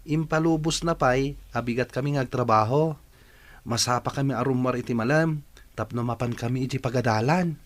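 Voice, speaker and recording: Respectful, mid-pitched young son played by a man. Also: moderate pace, lightly acted. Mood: earnest. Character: respectful, hardworking young son who wants to study. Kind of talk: radio drama acting in Ilocano.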